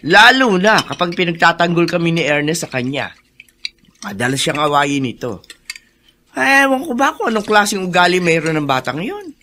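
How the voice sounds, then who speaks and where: A woman acting a gossipy, exasperated, motherly middle-aged housemaid in a Filipino radio drama, with drawn-out exclamations. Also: lowish voice, sing-song, sharp tone, slight background noise.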